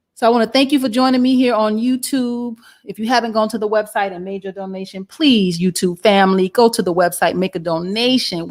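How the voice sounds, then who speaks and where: A woman hosts a political livestream in an easy, chatty way, speaking to her audience like family. Her voice is raspy and worn hoarse from a day of yelling, and sits at a middle pitch. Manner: chatty, warm toward viewers, addresses audience as family. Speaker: political show host. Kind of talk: casual livestream wrap-up. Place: livestream setup in Atlanta.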